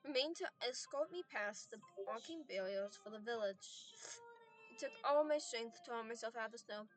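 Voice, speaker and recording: Woman, raspy, fairly high voice, reads game text aloud with frequent stumbles and restarts, soft music underneath. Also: normal speed. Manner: stumbles and restarts words, apologizes, sighs. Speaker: game narrator reading story text aloud. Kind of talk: reading a game's story aloud with asides.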